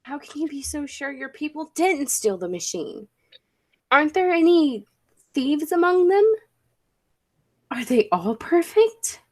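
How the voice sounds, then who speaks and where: A woman's voice: sits high, big pitch swings, measured pace, pauses often, darkish tone, no room sound, studio quality. A woman playing a suspicious, hot-tempered male time traveller in a radio play, with an urgent, demanding manner. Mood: angry, demanding. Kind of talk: 1940s radio play acting.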